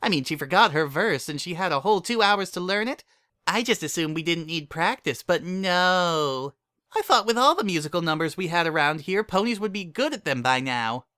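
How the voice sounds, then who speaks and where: A man's voice: high voice, expressive, moderate pace, bright, forceful tone, dry recording, clean studio sound, pitched above the performer's own voice. A man voicing a bubbly, hyperactive young female cartoon pony party planner, gushing and zealous as she chatters. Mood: chattering.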